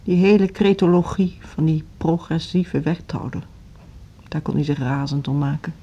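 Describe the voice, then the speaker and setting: An actress playing a grieving, earnest woman in an intimate radio drama, with a hushed, husky voice. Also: deep voice, normal speed. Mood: grieving, resolute. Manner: earnest, subdued, intense. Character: grieving, earnest music teacher, a determined but lonely woman. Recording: dull lo-fi radio drama recording.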